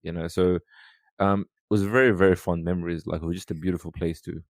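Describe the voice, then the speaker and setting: Deep gravelly guy from sudan rambling through old stories, dry close mic, lots of you know. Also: fairly even intonation, fast talker, no echo. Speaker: podcast guest. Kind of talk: casual storytelling conversation.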